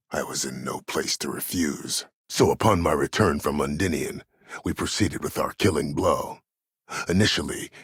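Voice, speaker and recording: An actor playing an imprisoned, disgraced admiral in a video game, a calculating schemer who speaks with cold courtesy in measured, formal, deliberate phrases. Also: male voice, very deep voice, fairly bright tone, husky, dry recording, studio quality, exaggerated voice. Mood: grave, measured. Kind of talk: video game character dialogue.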